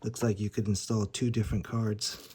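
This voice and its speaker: A man's voice: fairly low pitch, flat delivery, moderate pace. Casual man reviewing gear, noisy recording. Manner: informal, hesitant, thinking aloud while handling items. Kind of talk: casual unboxing and setup demonstration.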